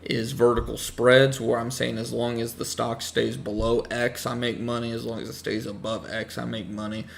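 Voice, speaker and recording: A young man casually explaining his trading to viewers on a livestream, relaxed and full of fillers. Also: medium pitch, moderate pace, creaky, few pauses. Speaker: stock trading streamer.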